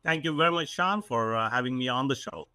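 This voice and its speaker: A man's voice: medium pitch, brisk, bright, forceful tone, clear, resonant tone, no room sound. A man in his forties from South India with a South Indian accent, a company CEO talking earnestly as a podcast guest, with many fillers and restarts. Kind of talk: casual interview talk.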